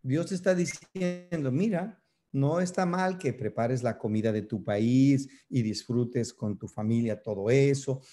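Man, 50s, from Ecuador, pastor, mellow warm tone, emphatic preaching, close webcam in an office. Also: medium pitch, a little slow. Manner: earnest preaching, emphatic, with hand gestures. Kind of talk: sermon over video. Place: office indoors.

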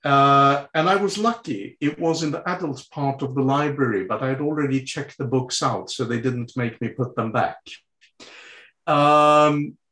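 A man's voice: mid-range voice, moderate pace, pushed and bright. A man in his sixties, raised speaking French, answering interview questions in a hesitant way with many fillers and restarts. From Sweden. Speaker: guest. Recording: roomy echo.

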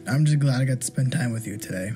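A woman voicing a calm, dry teenage boy in a fan comic dub, talking in a flat, reassuring way. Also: very deep voice, fast, faint music behind.

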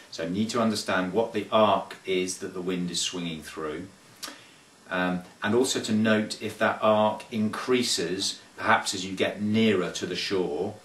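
Casting note: a low-pitched man coaching informally to camera, hesitant and thoughtful, picked up from a few feet away in a roomy space. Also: fairly bright tone, a little hiss or hum. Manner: thoughtful, hesitant with frequent fillers and restarts. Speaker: sailing coach. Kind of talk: casual instructional talk to camera. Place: small carpeted room indoors. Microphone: camera mic from a few feet away. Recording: roomy, distant camera audio.

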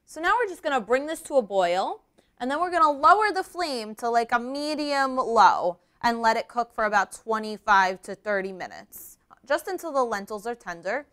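A woman's voice: fairly high pitch, expressive, moderate pace, fairly bright tone. A woman, casually explaining cooking steps as a show host in a kitchen. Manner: explains step by step in chatty asides. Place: home-style kitchen, indoors.

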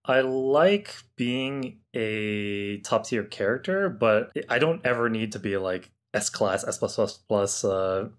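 Male gaming panelist, slightly low voice, blunt and casual conversational delivery. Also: lively intonation, slow pace, hardly any pauses. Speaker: podcast panelist. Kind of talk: casual, opinionated gaming chat.

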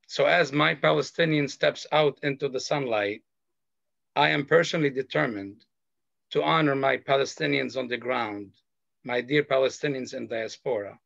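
A middle-aged man from Lebanon, a panelist sharing a personal story in a slow, earnest, measured way over a video call. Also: mid-range voice, gravelly, fairly bright tone, dry recording. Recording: dull video call audio.

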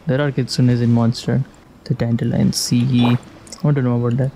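Mellow man musing through a game. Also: mid-range voice, level tone, moderate pace, gravelly, slight background noise, low-quality recording. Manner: musing aloud, short reactive remarks. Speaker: gamer playing and reacting. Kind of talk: casual playthrough commentary. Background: video game music.

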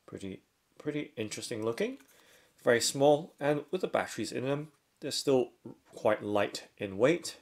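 A man giving a casual hands-on product demonstration, talking step by step in short, halting phrases. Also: mid-range voice, animated. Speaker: product reviewer.

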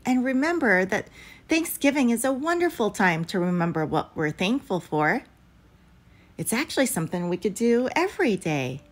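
A woman's voice: medium pitch, very animated, moderate pace. A woman reading a picture book aloud expressively for young children, as a storyteller. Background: background music.

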